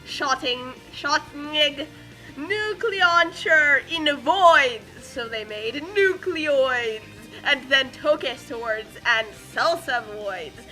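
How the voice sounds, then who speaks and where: A woman's voice: high-pitched, animated, extremely slow, sharp tone, rough recording. A woman co-hosting a dramatic fanfic reading with casual chat, theatrical when she reads. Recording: music behind the voice. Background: music.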